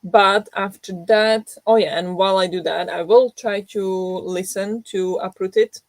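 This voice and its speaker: A woman's voice: mid-range voice, steady tone, unhurried, no room sound. A young woman hosting a reading livestream, just woken up and groggy, chatting casually to viewers with many fillers and restarts. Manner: rambling, self-deprecating, many fillers and restarts. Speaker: book vlogger hosting a reading sprint.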